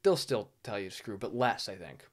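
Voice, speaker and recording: A man's voice: lowish voice, normal speed. Man swapping stories in casual podcast chat. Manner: animated anecdotes, acting out phone exchanges in quotes.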